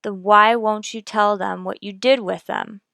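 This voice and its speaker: An actress playing a cool, persistent police detective in a radio crime drama, questioning in a clipped, deadpan, needling way. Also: female voice, mid-range voice, unhurried, vocal fry, no echo, studio quality. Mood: dry, needling. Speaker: voice actor in an audio police drama.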